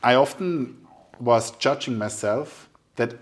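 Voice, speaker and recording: A man's voice: mid-range voice, takes it slowly. A man in his forties hosting a casual interview, searching for words with non-native phrasing. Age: 40s. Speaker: podcast host.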